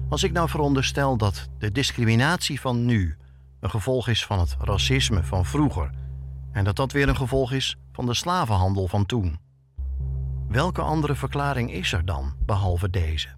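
Guy reporter reading podcast narration, regular mid pitch, scripted documentary style.

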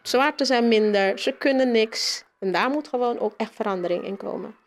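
A woman's voice: a little high, moderate pace. A woman, a teacher, giving spontaneous interview answers with hesitant, repeated phrasing.

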